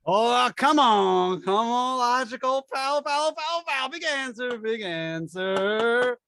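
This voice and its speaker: An actor playing a tipsy henpecked husband, wheedling and grandiose, in old-time radio style. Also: male voice, extremely high pitch, lively intonation, slow, creaky, bright, forceful tone, a little hiss or hum, full character voice. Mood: tipsy, rambling.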